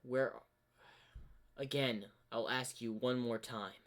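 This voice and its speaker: A man's voice: medium pitch, steady tone, slow, a bit bright. A man voicing a wary, defiant zebra adventurer who asks blunt, demanding questions.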